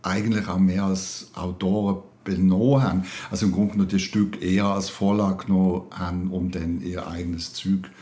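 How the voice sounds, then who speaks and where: Male interviewer, older, low voice, echoey room, conversational. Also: level tone, normal speed, few pauses, warm tone.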